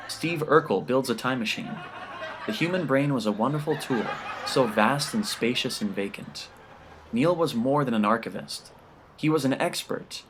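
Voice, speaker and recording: Male audiobook narrator, medium pitch, scripted short-story reading. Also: a bit bright, slight background noise.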